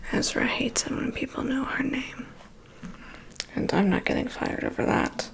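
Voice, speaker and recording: Woman playing a whispering, gossipy vampire's assistant. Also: high-pitched. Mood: gossipy, wry. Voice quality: soft, breathy whisper. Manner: confiding, gossipy, unhurried. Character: chatty, gossipy, weary female assistant to a vampire. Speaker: ASMR performer. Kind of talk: ASMR personal attention roleplay.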